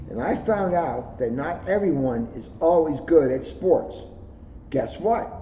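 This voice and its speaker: Male police officer, storybook read-aloud. Soft-edged mellow tone, average pitch, jokey delivery, echoey room with faint noise. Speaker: police officer reading a children's book aloud. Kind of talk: children's book read-aloud with casual asides. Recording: roomy echo, muffled audio, faint noise.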